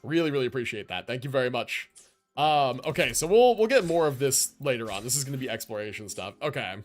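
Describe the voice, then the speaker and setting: A man's voice: medium pitch, lively intonation, fast. A man hosting a game livestream with casual, slangy, enthusiastic commentary and the odd swear. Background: video game music and character voices.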